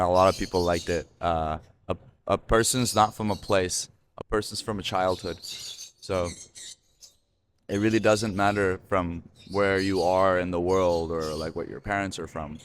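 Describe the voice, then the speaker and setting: Low-voiced guy rambling on a podcast, lots of likes and you knows, bit of hiss behind. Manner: rambling, many fillers and restarts. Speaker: podcast host. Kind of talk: casual conversation.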